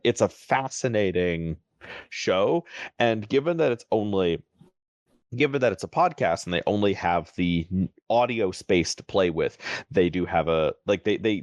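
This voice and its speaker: A man's voice: low-pitched, a little mellow, no echo. A man in a casual podcast chat, giving enthusiastic, rambling recommendations. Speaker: podcast panelist.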